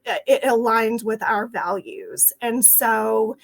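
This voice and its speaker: A middle-aged woman with a Texas accent shares her own story in an interview. She rambles earnestly, full of fillers and restarts. Her voice sits a bit high and carries a gravelly edge. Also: slow pace. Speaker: franchise owner.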